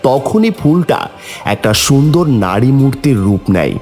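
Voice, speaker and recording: Animated male storyteller over music. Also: mid-range voice, unhurried. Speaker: narrator. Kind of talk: scripted mythological story narration.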